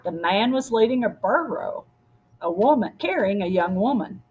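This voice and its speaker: A woman with a husky voice reading a storybook aloud expressively, as if to children. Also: medium pitch, moderate pace, muffled recording. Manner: expressive, acts out dialogue. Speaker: story reader.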